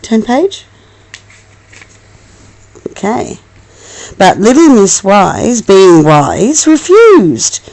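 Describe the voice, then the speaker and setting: A woman reads a children's bedtime story at an unhurried pace, her pitch moving up and down freely. She is expressive and affectionate, slipping between narration and gentle, coaxing asides to her child.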